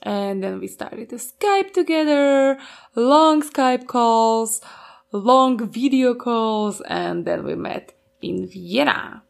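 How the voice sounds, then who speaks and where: A woman's voice: a little high, expressive, slow pace, vocal fry. A woman in her twenties with a Slovak accent, co-hosting a casual couple podcast in English and laughing often. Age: 20s. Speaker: podcast co-host.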